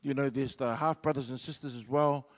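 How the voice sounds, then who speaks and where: A man's voice: mid-range voice, fairly even intonation, a little fast, long reverb tail. A middle-aged New Zealand man whose first language is Samoan, telling a rambling stand-up story full of fillers. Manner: laid-back and rambling, with many fillers. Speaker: comedian.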